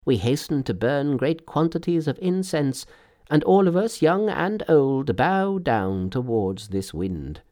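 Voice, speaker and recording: Man doing an animated, pleading old village father. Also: mid-range voice, voice pushed up. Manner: pleading, deferential, emphatic. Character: earnest, humble old village father, emotional. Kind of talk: storybook character voice.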